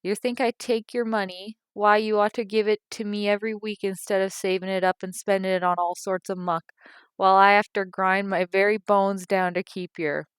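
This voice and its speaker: A woman's voice: steady tone, normal speed, clean tone, no room sound, close to an everyday voice. A woman voicing a cantankerous, self-pitying old working-class mother with a Cockney accent, complaining and scolding. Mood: furious. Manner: complaining, shrill when angry.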